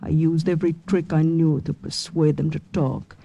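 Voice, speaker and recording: A woman's voice: sits low, flat delivery, moderate pace, vocal fry, warm tone. Young wartime woman spy, old-time radio. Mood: reflective, tense. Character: young female spy in wartime China, brave, guarded. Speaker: lead actress and narrator. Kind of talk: 1950s radio drama acting, narrating and in dialogue. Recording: old radio recording with music behind.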